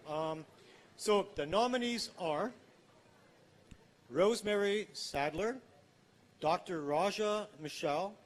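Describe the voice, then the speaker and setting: A man presenting an award live in an informal, chatty style. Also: fairly high pitch. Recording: faint noise, live event stage.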